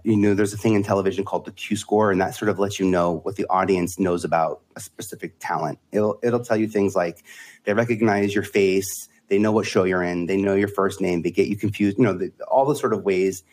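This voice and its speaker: A middle-aged man, a media advisor and guest on a podcast, talking in a rambling, animated way. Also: low voice, brisk. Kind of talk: casual interview chat.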